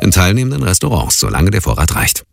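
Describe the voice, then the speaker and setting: A man with a very low, gravelly voice reads a scripted advertisement. His delivery is unhurried yet swings widely in pitch, over loud music. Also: huge pitch swings. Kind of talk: scripted ad read.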